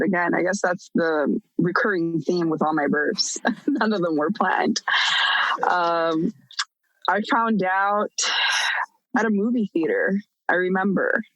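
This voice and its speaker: A woman's voice: medium pitch, a bit bright, dry recording, highs cut off. A woman in her thirties telling her own story as a podcast guest, candid and blunt, laughing often. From United States. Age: 30s. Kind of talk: casual storytelling.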